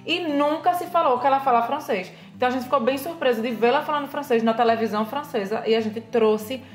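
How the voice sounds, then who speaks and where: Brazilian woman co-host, a little high-pitched, lively explainer, quick bursts. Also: faint music behind. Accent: Brazilian Portuguese. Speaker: French teacher, co-host. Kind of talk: casual language lesson.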